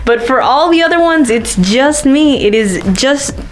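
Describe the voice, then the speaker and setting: Young woman, guest in a casual chat, bubbly and animated, a bit high-pitched. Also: unhurried, a little hiss or hum. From Miami. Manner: animated, laughs often, acts out little voices. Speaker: guest, video creator.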